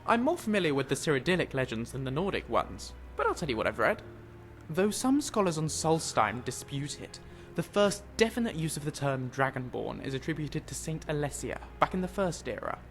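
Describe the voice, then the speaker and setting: A man voicing an eager, skeptical scholar in a fantasy game, explaining things in an animated, didactic way. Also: on the high side, brisk, soft background music. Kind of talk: fantasy video game dialogue.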